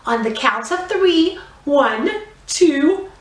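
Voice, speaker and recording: Female children's drama teacher, encouraging and deliberate, unhurried pace with highly animated intonation, in a roomy space. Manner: encouraging, instructional, clearly phrased step-by-step directions. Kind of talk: guided theatre warm-up lesson for young children. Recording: roomy echo, distant microphone.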